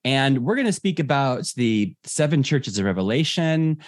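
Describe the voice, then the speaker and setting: A man's voice: mid-range voice, big pitch swings, moderate pace, no room sound, studio quality. A man hosting a preaching podcast, reading a plain and direct event announcement and then interviewing a guest, with frequent fillers. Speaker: podcast host.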